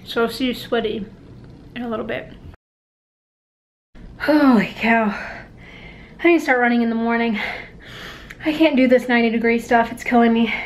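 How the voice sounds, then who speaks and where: Chatty young woman vlogging at home. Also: a little high. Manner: chatty, upbeat, rambling with many likes. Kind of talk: casual vlog chat. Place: at home and out and about. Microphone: handheld compact camera.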